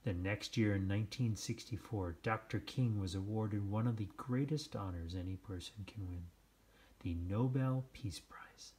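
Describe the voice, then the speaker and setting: A man reading a children's book aloud, with measured, deliberate phrasing and dramatic pauses. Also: lowish voice, darkish tone. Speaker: storybook reader. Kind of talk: scripted children's read-aloud narration.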